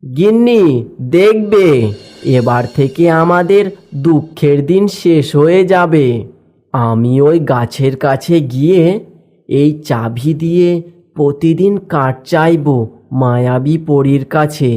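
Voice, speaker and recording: Man playing a slow-talking humble cartoon woodcutter. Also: on the high side. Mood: hopeful. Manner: patient, deliberate, gently admonishing. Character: humble, contented poor village woodcutter husband. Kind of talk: Bengali children's cartoon voice acting.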